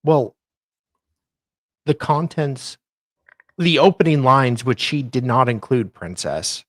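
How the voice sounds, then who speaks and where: A man's voice: mid-range voice, animated, slow pace, no echo. Sardonic man reading aloud, dry recording. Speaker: co-host doing a dramatic reading. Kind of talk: dramatic reading of legal filings with sardonic asides.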